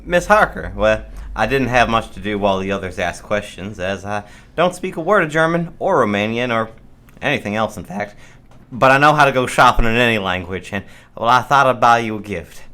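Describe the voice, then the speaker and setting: A man's voice: fairly low pitch, animated, fairly quick, sharp tone. A man in a radio play acting a kind, modest, plain-spoken adventurer with an informal, bashful, easygoing manner. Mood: bashful, friendly.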